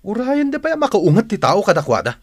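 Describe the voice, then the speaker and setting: Grumbling husband, high lively male voice. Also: fast talker, slightly rough, dry recording, studio quality, exaggerated voice. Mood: angry. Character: irritable, grumbling neighbour husband. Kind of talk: radio drama acting.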